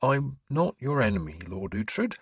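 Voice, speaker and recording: A man voicing a gentle, earnest scholarly bishop in an audiobook, with mild manners and a preacher's fervour. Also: mid-range voice, lively intonation, a little slow, slightly rough, dry recording, voice pushed up. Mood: gentle, sincere. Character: gentle, earnest scholarly priest turned bishop, quietly sly.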